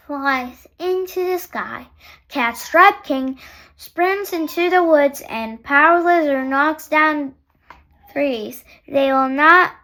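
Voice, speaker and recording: A very high, bright young female voice reads a picture book aloud at an unhurried pace. The delivery is sing-song and halting, as a storyteller speaking to small children.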